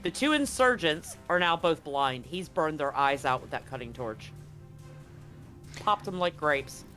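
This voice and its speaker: A game master running a live tabletop roleplaying game, narrating and bantering excitably and repeating phrases for emphasis. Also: medium pitch, a little slow. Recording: music behind the voice. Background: background music.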